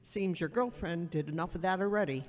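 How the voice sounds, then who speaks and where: A woman's voice: lowish voice, fairly quick, lightly acted. A woman acting a blunt, haughty woman who gives sardonic advice in an amateur audio drama. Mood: scornful. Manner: brusque, sardonic, superior. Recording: echoey hall with long reverb.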